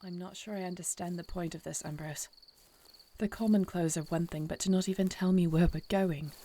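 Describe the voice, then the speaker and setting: Casting: female actor as proud, quick-tempered, kind-hearted fantasy queen; regal formal diction with noticeable vocal fry. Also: on the low side, fairly even intonation, warm tone. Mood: irritated, confused. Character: short-tempered yet caring newly crowned warrior queen. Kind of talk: fantasy audio roleplay acting.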